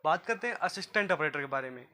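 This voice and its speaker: A man's voice: fairly high pitch, animated, quick pace, sharp tone, a little hiss or hum. A man from gives an informal, repetitive explainer to viewers as a job guidance presenter. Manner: repetitive, explanatory, often addressing listeners as friends.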